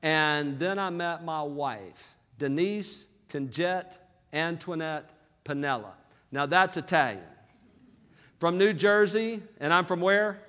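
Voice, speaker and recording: High-voiced Southern man on a stage. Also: slow. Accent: Southern American. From southern Alabama, USA. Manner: energetic, calls for audience response. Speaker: business seminar speaker. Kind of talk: motivational seminar talk. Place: large hall with live audience. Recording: reverberant hall.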